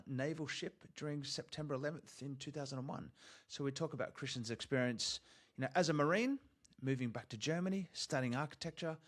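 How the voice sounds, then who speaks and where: A man's voice: mid-range voice, fairly bright tone. Chatty Australian man hosting. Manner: friendly, informal, says "mate". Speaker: podcast host. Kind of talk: casual interview chat.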